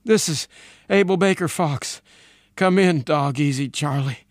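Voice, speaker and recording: Guy doing a weary army major on radio, way higher pitched, halting n deliberate, earnest, dry storybook recording. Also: dry recording, close to an everyday voice. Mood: insistent.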